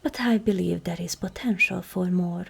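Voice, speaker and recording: A woman playing a regal, seductive ancient demon queen in an ASMR roleplay, measured and commanding, with a husky, breathy, creaky voice that often softens toward a whisper. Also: lowish voice, heavily acted. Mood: solemn, persuasive. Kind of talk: ASMR roleplay, scripted monologue to the listener.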